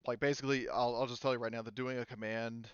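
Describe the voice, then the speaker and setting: A man's voice: medium pitch, flat delivery, fast talker, smooth, no room sound, muffled recording. A man running a tabletop game as game master, rambling and restarting, with lots of fillers.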